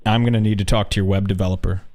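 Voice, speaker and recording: A man's voice: on the low side, steady tone, racing, background noise, pitched below the performer's own voice, natural voice. A man playing an aggrieved, self-important businessman making an indignant, exasperated customer complaint on a prank call.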